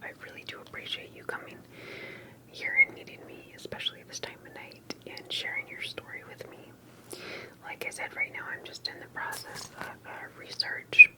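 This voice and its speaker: A woman's voice: extremely high pitch, level tone, rough recording. Whispering woman in an ASMR interview roleplay. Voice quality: breathy, unvoiced whisper. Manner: gentle, hesitant, unhurried questioning with soft pauses. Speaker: ASMR performer playing an interviewer.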